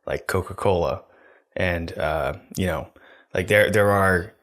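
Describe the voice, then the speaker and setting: A young man co-hosting a podcast, talking in a calm, even, monotone way close to a studio mic in a small room. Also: sits low, measured pace. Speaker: podcast co-host. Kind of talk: casual chat. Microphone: studio mic on stand, close.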